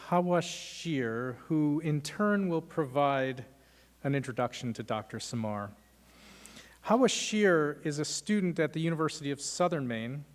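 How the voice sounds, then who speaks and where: A man hosting a law school lecture, giving measured welcoming remarks and thanks, with frequent "uh" fillers. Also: mid-range voice. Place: lecture hall. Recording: long hall reverb.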